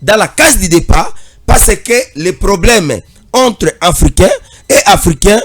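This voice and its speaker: A man's voice: high voice, expressive, a little slow, husky. A man with a Congolese accent giving emphatic political commentary in long, repetitive phrases. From Democratic Republic of the Congo.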